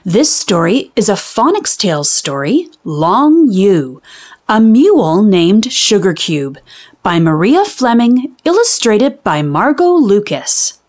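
Female children's storybook reader, lively intonation, unhurried and clearly enunciated, teacherly. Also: mid-range voice. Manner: deliberate, enunciated teaching delivery. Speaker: children's read-aloud host. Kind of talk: expressive storybook reading for young children.

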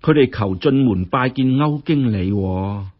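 A man voicing a dutiful female housekeeper in a story, plain and brisk in her reporting. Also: mid-range voice, expressive, breathy edge. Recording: dull, muffled lo-fi audio.

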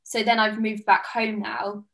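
A woman's voice: mid-range voice, flat delivery, a little slow, vocal fry, fairly bright tone. A woman in her twenties from the United Kingdom, a fitness coach chatting enthusiastically on a video call. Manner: chatty, enthusiastic, gestures with hands. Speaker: guest, online fitness coach. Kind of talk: casual video-call chat. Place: small plain-walled room indoors.

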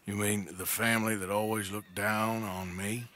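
A man's voice: lowish voice, little pitch movement, normal speed, a bit bright, lightly acted. An actor playing a rugged outlaw in his thirties in a game drama, bitter and world-weary, with an American drawl. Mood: bitter, sardonic. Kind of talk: dramatic video game acting.

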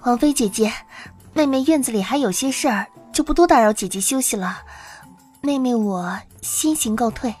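Jealous concubine, syrupy mock humility, fairly high young voice, period audio drama actress. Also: female voice, lively intonation, normal speed, a little mellow, breathy edge, faint music behind. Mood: sugary, strained. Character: sugary, falsely humble jealous young concubine. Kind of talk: audio drama acting in Mandarin.

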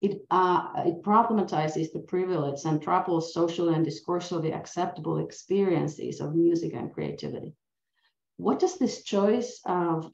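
A woman's voice: fairly low pitch, creaky, darkish tone. A middle-aged woman with a Finnish accent, a native Finnish speaker, giving an earnest, reflective academic lecture over a video call and asking many questions. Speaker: university professor. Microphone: laptop mic on a video call. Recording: roomy, dull-sounding video call.

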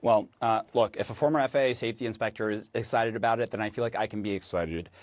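A man with an American accent anchors a live news interview. His voice sits a little low, and the sound is dry and clean, as in a TV studio. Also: quick pace, no echo. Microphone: lapel mic.

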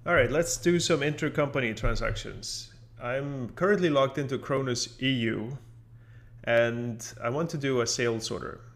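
Man narrating software tutorial, creaky voice. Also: medium pitch. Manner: informal, thinking aloud step by step. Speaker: software instructor. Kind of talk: unscripted screen-share tutorial narration.

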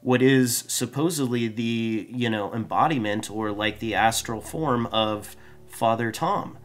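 A man's voice: medium pitch, a little slow, soft background music. A man in his thirties co-hosting a comic book podcast, talking animatedly and often restarting his sentences. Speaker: podcast co-host. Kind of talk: casual comic book discussion. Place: small room full of collectibles. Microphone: studio mic on desk stand.

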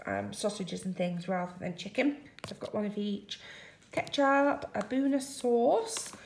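Gravelly woman in an echoey kitchen. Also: mid-range voice. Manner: chatty, listing items one after another. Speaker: family vlogger. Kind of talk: casual grocery haul vlog. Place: home kitchen, indoors. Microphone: phone camera at arm's length. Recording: echoey kitchen with faint noise.

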